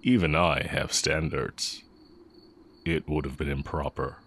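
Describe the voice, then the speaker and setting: A man playing a tender, sly heretic mage and former teacher in a romantic audio roleplay, speaking in measured, formal phrases. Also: extremely low pitch, steady tone, fairly bright tone, a little hiss or hum. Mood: tender, teasing. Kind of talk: romantic audio roleplay, intimate scripted acting.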